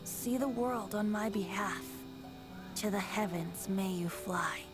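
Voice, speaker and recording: A woman voicing a youthful, boyish male wind spirit bard in a video game, poetic and playful, telling tales in a measured way. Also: mid-range voice, over music, lightly acted. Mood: wistful, tender. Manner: poetic, measured, gentle storytelling. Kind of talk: video game voice acting, verse narration.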